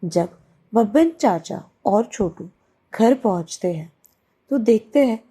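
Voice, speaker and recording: A woman's voice: mid-range voice, expressive, slow, mellow, slightly rough. A woman narrating a scripted children's story.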